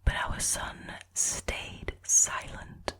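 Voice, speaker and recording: A woman speaking in a breathy whisper, telling short stories and chatting casually about them in a relaxed ASMR style. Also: extremely slow. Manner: informal, chatty, amused. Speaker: host reading and reacting to short stories.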